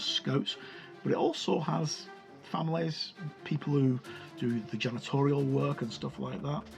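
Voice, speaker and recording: Male reviewer, mid-pitched, casual and unscripted, halting delivery with self-corrections, music underneath. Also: gravelly. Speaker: host reviewing a tabletop game book.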